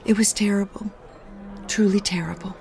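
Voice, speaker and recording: A woman's voice: medium pitch, fairly even intonation, normal speed, vocal fry, darkish tone, lightly acted. An actress playing a mournful, sympathetic society woman, lamenting in a dramatic video game. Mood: sorrowful.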